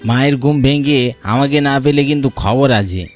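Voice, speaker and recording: A man playing an impatient, nervy young village boy in a cartoon story, talking in a quick, eager way. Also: medium pitch, soft background music, natural voice. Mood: annoyed.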